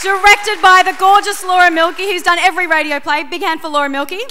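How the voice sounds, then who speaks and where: A woman's voice: very high-pitched, fairly even intonation, fast talker, pushed and bright. A woman hosting a live radio event and announcing thanks to the cast. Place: theatre studio stage with live audience. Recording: noisy live venue with audience crowd.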